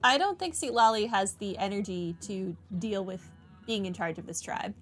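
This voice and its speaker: A woman's voice: mid-range voice, normal speed. A woman giving casual playthrough commentary as a streamer, wryly talking back to the game. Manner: wry, talks back to the game.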